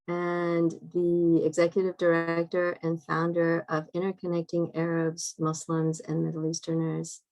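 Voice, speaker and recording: A woman's voice: fairly low pitch, rough recording. A woman from Iran, a nonprofit director, giving a brief, understated introduction on a video call.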